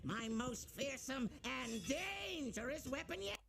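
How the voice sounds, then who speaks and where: A man's voice: very high voice, lively intonation, slow pace, creaky, exaggerated voice. An actor playing a grandiose, screechy male robot supervillain from a cartoonish video game, proclaiming his inventions. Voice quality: screechy, theatrical. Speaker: game character. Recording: game audio under stream noise.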